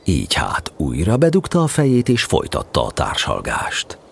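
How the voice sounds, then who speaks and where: A man narrating a children's storybook tale in an audio drama, with expressive scripted delivery. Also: low-pitched, gravelly, husky, exaggerated voice. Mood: storytelling.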